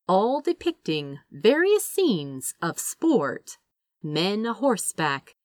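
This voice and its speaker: Slow, very animated woman storyteller. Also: medium pitch, no room sound, clean studio sound. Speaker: audiobook-style reader of fan fiction. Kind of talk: expressive scripted story narration.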